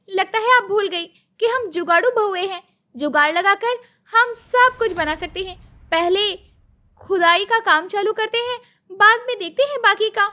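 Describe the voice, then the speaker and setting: A woman's voice: very high voice, pushed and bright. A woman voicing a thrifty, practical city-bred daughter-in-law in a story cartoon, earnest and explanatory. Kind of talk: animated moral-story cartoon dialogue.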